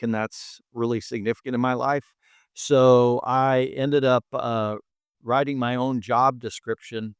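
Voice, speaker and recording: A man's voice: medium pitch, clear, resonant tone, no echo, clean studio sound. A middle-aged man from Montana with an American accent, telling his own story earnestly as an interview guest. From Montana, United States. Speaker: interview guest, hospital chief medical officer. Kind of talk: reflective personal storytelling in an interview.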